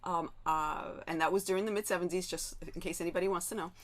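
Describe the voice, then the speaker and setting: Woman moderating an online panel, spanish first language, kinda low voice, keeps saying "right?". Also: fairly even intonation, fast. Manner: frequent "um" and tag "right?".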